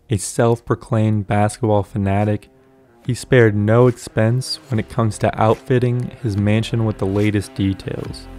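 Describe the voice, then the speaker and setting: Dark-toned man narrating a house tour. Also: on the low side. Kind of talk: scripted voice-over narration.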